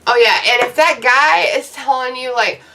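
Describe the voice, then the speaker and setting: A woman in her thirties rants to her viewers, blunt and profane, drifting loosely from one point to the next. Her voice is bright and a little high, and it sounds like she sits back from the mic. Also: moderate pace, distant mic, slight background noise. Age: 30s. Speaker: YouTuber. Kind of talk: casual advice rant to camera.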